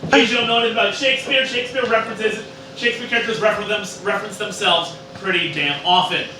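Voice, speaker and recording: A man's voice: high voice, fairly even intonation, fast talker. A tipsy man hosting a live show in a bar with casual, boisterous announcing. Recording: very echoey room, distant, noisy.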